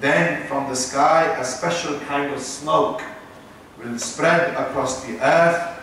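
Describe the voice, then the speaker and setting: A man's voice: on the high side, slow pace, a bit bright, a little hiss or hum. A man with a British accent, an imam giving an Islamic lecture and teasing his listeners with asides. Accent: British English. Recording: echoey room, voice from far off.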